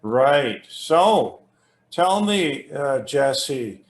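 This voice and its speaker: A man hosts a remote interview, speaking slowly at a middle pitch. His delivery is halting and rambling as he works from notes, and the sound is roomy and echoing. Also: very animated. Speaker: host interviewing a musician. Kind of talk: conversational interview, introduction read from notes. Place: remote internet video call.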